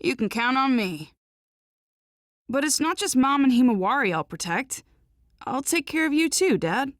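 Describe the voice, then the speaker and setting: A woman's voice: sits high, animated, normal speed, dry recording, clean studio sound. A woman voicing an upbeat, boyish young son in an English anime game dub. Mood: earnest.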